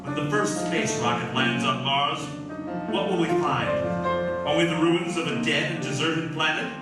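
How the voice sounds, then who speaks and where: A man's voice: fairly high pitch, normal speed, close to an everyday voice. Man playing a portentous, echoey radio announcer. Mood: portentous, mysterious. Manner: portentous, theatrical. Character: solemn male announcer of a science fiction radio anthology. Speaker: announcer and narrator. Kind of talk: dramatic old-time radio narration. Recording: very echoey room, distant mic, loud music.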